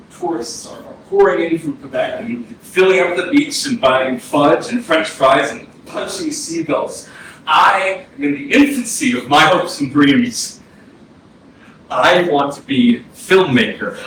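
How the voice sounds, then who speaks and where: A man playing the wistful ghost of a fourteen-year-old boy in a staged reading, halting and poetic with pauses. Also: sits high, fairly bright tone, full character voice. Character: dead fourteen-year-old boy's ghost, dreamy, wistful would-be filmmaker. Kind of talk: staged reading of a play, monologue. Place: indoor arts center stage, recorded from afar. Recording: very echoey, distant hall, clear noise, processed.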